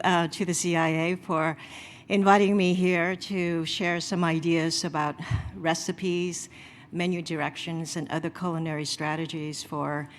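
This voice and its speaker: A woman's voice: on the low side, slight background noise. A middle-aged woman from Vietnam, a chef giving a conference talk, conversational with many fillers and restarts. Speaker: chef presenter. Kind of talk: conference presentation.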